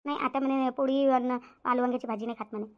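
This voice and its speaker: Woman acting a rapid-talking, resigned village sister-in-law. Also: sits high, fairly even intonation, dull audio, close to an everyday voice. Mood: explaining. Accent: rural Maharashtra, Varhadi Marathi. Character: harried elder village sister-in-law, resigned, dutiful, accommodating. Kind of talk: domestic cartoon story dialogue.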